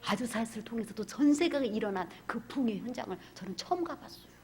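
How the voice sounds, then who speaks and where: A woman pastor preaching a fervent sermon, swinging from loud cries to hushed whispers. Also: a little high, lively intonation, fast, slight background noise.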